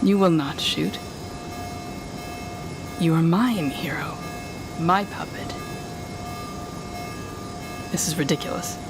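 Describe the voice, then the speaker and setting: A woman playing a smug, controlling telepathic villain in an audio roleplay, taunting the listener in a deliberate, condescending manner. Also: fairly low pitch, over music. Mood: cold, commanding.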